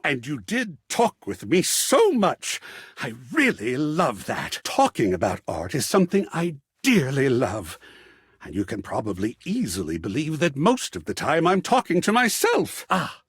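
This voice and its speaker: An actor playing an effusive, sensitive male artist in a video game, with florid, dramatic phrasing and a husky voice. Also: on the high side, no room sound, studio quality, heavily acted. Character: effusive, sensitive, theatrical male artist, an art-obsessed optimist. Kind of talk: theatrical video game character dialogue.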